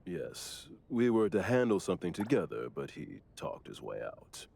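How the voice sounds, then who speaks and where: A man's voice: deep voice, sing-song, normal speed, vocal fry, a little mellow, full character voice. An actor voicing a stern, dutiful male lieutenant in an anime game, speaking in a dry, formal, weary way. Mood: dry, exasperated.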